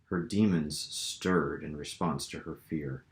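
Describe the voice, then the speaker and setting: A man's voice: extremely low pitch, slow. A man reading his own novel aloud as author, in a dramatic storytelling style. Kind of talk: dramatic audiobook-style narration. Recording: roomy, echoing room sound.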